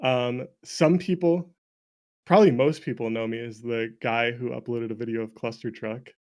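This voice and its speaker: A man's voice: medium pitch, fairly even intonation, normal speed, a little mellow. A man with a mid-range voice talks casually as an interview guest. He is jokey and self-deprecating, often breaking into laughter, and sounds like a game developer chatting with a friend. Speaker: indie game developer and streamer. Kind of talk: casual chat.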